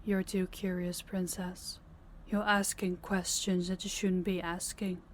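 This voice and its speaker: A woman playing a guarded but caring young rival princess in an intimate fantasy audio roleplay, reserved and measured. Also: on the low side, little pitch movement, slight background noise, lightly acted. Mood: guarded, gentle.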